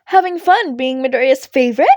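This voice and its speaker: A woman's voice: high-pitched, a little fast, a little mellow, no echo, studio quality, natural voice. A woman voicing a brash, amused female teacher in a fan fiction reading, teasing and emphatic. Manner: playful, emphatic. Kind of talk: podfic character voice.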